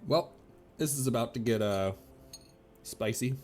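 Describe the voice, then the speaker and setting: A man's voice: mid-range voice, measured pace, darkish tone, a little hiss or hum. A man streaming a video game with casual, playful and sometimes sweary commentary.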